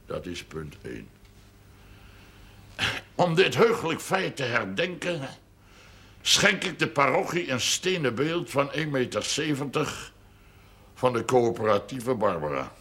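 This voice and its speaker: An actor playing a shrewd, self-important wealthy farmer in a television drama, speaking in a pompous, formal, wordy way. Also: male voice, medium pitch, sing-song, a little slow, pauses often, fairly bright tone. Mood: pompous, solemn.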